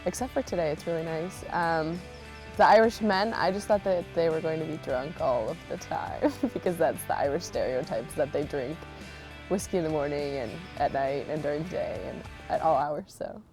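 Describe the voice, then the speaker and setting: A woman's voice: sits low, normal speed, over music. A young American woman giving casual, chatty interview answers as an exchange student. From Chicago, United States. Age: young adult.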